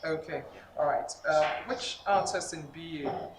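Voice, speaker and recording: A man with a somewhat high voice, asking probing and skeptical questions as an interviewer. He sounds as if he is in an echoey room with plenty of background noise. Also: a little slow.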